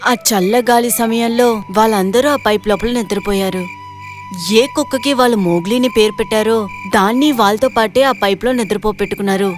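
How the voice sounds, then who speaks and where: A woman narrator reading a scripted moral story for an animated video. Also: on the high side, fairly quick, soft background music.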